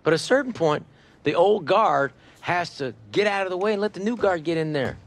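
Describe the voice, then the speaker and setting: A lively, scheming sports executive. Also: male voice, high voice, slight background noise. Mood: conspiratorial. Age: middle-aged. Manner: salesman-like, chummy, fast-shifting emphasis. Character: smooth-talking, scheming middle-aged football general manager. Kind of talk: naturalistic cinematic game acting.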